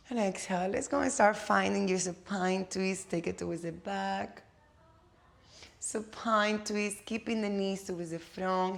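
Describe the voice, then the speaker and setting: A woman from Cuba with a Cuban Spanish accent, a native Spanish speaker, guiding a yoga class with slow, soothing cues and playful asides. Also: fairly low pitch, vocal fry. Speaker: yoga instructor.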